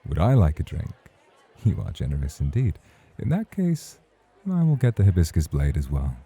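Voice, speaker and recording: Guy acting a super deep dark velvety club host, husky, smug n courtly, teasing u in an asmr roleplay. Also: huge pitch swings, normal speed, exaggerated voice. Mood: teasing, probing. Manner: smooth, courtly, playfully provocative. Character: suave, teasing, self-assured champion nightclub host.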